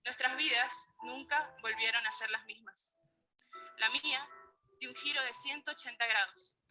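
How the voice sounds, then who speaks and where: A woman's voice: sits high, normal speed, bright, forceful tone, rough recording. A woman magician from Venezuela telling her own story on stage, stating exact figures with care. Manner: precise, counting out exact figures. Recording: played clip with music behind. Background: music.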